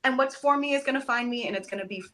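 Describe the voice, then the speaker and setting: A woman, a Broadway actress, chatting as a podcast guest, telling stories with lively enthusiasm and the odd casual swear word. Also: sits high, racing. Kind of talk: casual podcast interview chat.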